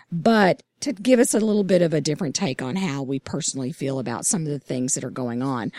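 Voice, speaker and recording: A woman hosting a casual podcast roundtable, hoarse from losing her voice, upbeat and enthusiastic. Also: on the low side, a little mellow, no room sound, studio quality. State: hoarse, lost voice. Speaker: podcast host.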